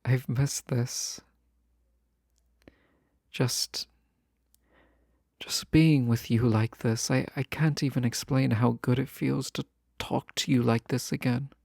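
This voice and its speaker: A man plays a lovestruck fantasy nobleman who talks slowly and haltingly in formal, old-fashioned phrases. He is tender and wistful with a teasing streak. The recording is close and dry, made for a romantic audio drama. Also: mid-range voice, steady tone, gravelly, a little mellow, no room sound. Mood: wistful, longing. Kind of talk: romantic audio roleplay acting.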